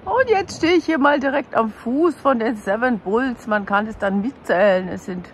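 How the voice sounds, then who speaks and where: A woman's voice: fairly high pitch, lively intonation, normal speed. A woman giving casual travel commentary as a vlogger, recorded outdoors. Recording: noisy outdoor recording, engine and water.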